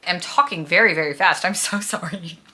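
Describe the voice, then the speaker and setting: Bright, gushing young woman. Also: lowish voice, normal speed. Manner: gushing, emphatic. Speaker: book vlogger. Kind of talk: casual chat about books to camera.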